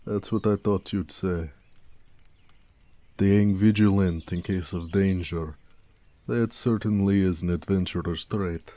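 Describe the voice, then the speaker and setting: A man playing a proud, gentle orc blacksmith in an intimate, affectionate audio roleplay, speaking tenderly and modestly. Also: steady tone, slow pace, long pauses, no room sound. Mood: fond, curious. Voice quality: deep, mellow.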